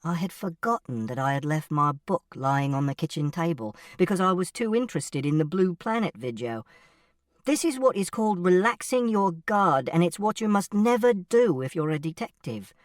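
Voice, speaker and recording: A narrator as an earnest, literal-minded boy, animated. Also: mid-range voice, moderate pace, no room sound, clean studio sound, far from the mic, natural voice. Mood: matter-of-fact. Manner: precise, matter-of-fact, earnest. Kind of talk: first-person audiobook storytelling.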